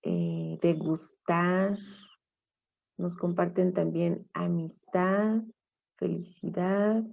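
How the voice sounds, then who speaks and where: Slow, gravelly woman teacher. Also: on the low side, a little mellow, muffled recording. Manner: slow, encouraging, halting. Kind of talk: online class talk. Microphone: computer mic on a video call.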